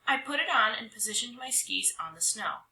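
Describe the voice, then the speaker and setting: A woman narrates a children's story with lots of drama. Her voice is very bright and swoops expressively in pitch, and the room adds a clear echo. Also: animated, normal speed. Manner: dramatic, with stretched words and hushed drops. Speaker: storybook reader. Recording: roomy echo.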